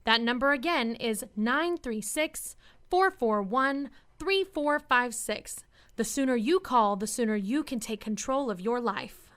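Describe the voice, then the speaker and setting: Female commercial announcer, very high pitch, scripted radio ad read. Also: expressive, unhurried, a bit bright.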